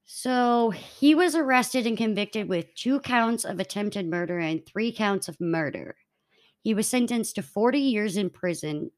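Young woman podcast co-host, mid-pitch, narrating a true-crime case from notes, a few restarts.

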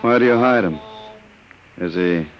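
An actor playing a suave, mock-polite gentleman crook who menaces people in an old radio drama. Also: deep voice, a little slow, lo-fi sound. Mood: cool, threatening. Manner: mock-polite, drawling endearments. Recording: noisy vintage radio recording.

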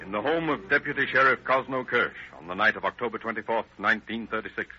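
A man's voice: on the low side, fast, soft background music. A man narrating an old 1930s radio crime show in a formal, grave, declamatory way. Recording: dull old radio recording.

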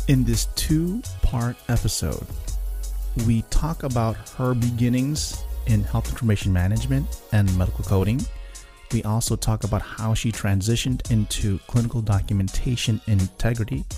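A man's voice: on the low side, dark tone. A man speaking as a podcast host, introducing the show and chatting with his guest. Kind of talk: podcast intro and interview chat.

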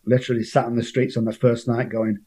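A middle-aged man from Manchester speaks with a strong local accent at an ordinary pitch. He tells his story earnestly and emotionally, often stopping and restarting his sentences. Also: flat delivery, fast, dark tone, husky. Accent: Manchester English. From Manchester, England. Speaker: guest. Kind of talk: personal recovery story.

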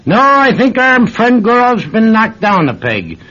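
Blunt, animated intelligence chief. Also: male voice, high voice, unhurried, full character voice. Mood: confident. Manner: brusque, assured, colloquial. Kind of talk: radio drama acting. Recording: dull old-style radio recording.

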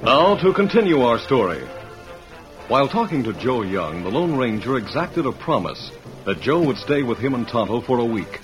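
A man's voice: medium pitch, sing-song, normal speed, over music, low-quality recording. A man narrating an old radio western in a formal, dramatic announcer style.

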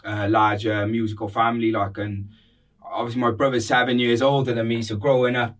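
A man's voice: medium pitch, level tone, a little fast, distant mic. A man from Cardiff with a Welsh accent, chatting casually and telling stories in informal, slangy, repetitive phrasing as a podcast guest. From Cardiff, Wales. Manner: informal, slangy, repeats phrases, frequent 'like' and 'bro'. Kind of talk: casual conversational storytelling.

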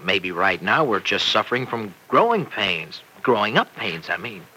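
An actor playing an earnest, anxious young farmer husband in an old-time radio drama, with a halting, tender way of talking. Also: male voice, lowish voice, very animated, bright, forceful tone, husky, full character voice. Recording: noisy vintage radio recording.

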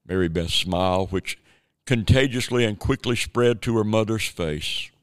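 A man's voice: fairly low pitch, normal speed, clear, resonant tone, no room sound, clean studio sound. A man narrating a scripted radio drama as its storyteller.

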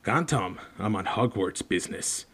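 A man's voice: on the low side, lively intonation, moderate pace, fairly bright tone, husky. A man voicing a huge, good-natured man with blunt, folksy talk and colloquial grammar, for a storybook. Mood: businesslike.

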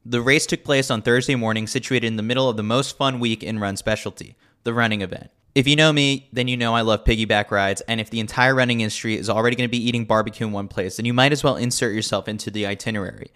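A man's voice: medium pitch, steady tone, quick pace, few pauses, a bit bright. A man reading a scripted sports newsletter as a podcast host, with a wry, tongue-in-cheek manner.

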